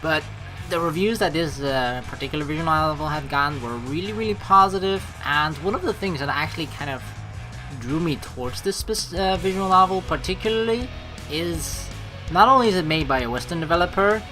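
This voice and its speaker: A man's voice: a little high, brisk. A man giving casual, rambling, joking commentary as he hosts a video game playthrough, swearing now and then. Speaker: let's play host. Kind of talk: casual gaming commentary with read-aloud game text. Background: background music.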